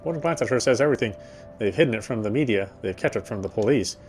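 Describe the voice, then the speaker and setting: A man's voice: lowish voice, lively intonation, fast talker, a little mellow, faint music behind, lightly acted. An actor playing a weary male city detective, narrating in the first person with a wry inner voice.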